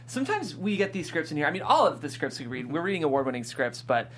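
A man's voice: on the high side, very animated, fast talker, fairly bright tone, slight background noise. A man hosting a casual panel talk show, speaking with an enthusiastic manner. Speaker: host of a table-read show.

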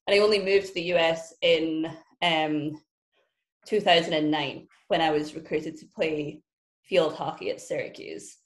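A woman with a Scottish accent, in her thirties, talking in a fairly low voice. She teases and jokes bluntly in a relaxed podcast chat. The recording has a roomy echo. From Scotland. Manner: witty, teasing, blunt. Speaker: podcast guest.

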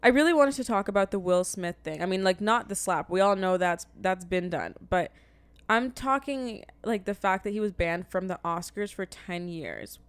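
Smooth-voiced woman co-hosting a podcast. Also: mid-range voice, normal speed, dry recording. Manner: teasing, direct, reflective. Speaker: podcast co-host. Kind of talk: casual chat.

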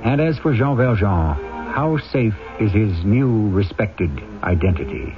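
A low-voiced male host reads scripted radio drama narration with measured, dramatic delivery. The sound is thin and phone-like, with music behind him. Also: animated.

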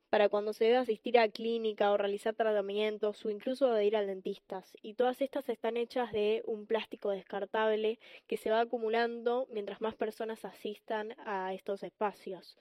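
A woman's voice: a little high, darkish tone, dry recording. A teenage young woman with an Argentine accent, talking conversationally as a co-host on an opinion podcast. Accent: Argentine Spanish. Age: teens, secondary school student.

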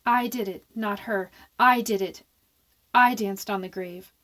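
A woman's voice: mid-range voice, a little slow, a bit bright, natural voice. A woman playing a guilt-ridden, defiant young woman in a horror radio drama, with an emphatic, theatrical delivery. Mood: anguished guilt. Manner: theatrical, emphatic, repeats phrases.

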